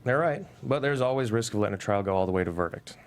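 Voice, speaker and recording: A measured male trial lawyer acted by a man at a table read, shrewd and dry. His voice is fairly low, and he speaks in a pragmatic, scripted legal drama style. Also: lively intonation, slight background noise.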